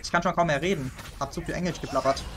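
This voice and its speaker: A man's voice: a little high, rapid-fire, slight background noise. A German man hosting a gaming video, chatting casually and laughing often while he plays. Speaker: gaming channel host. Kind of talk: casual gameplay chat.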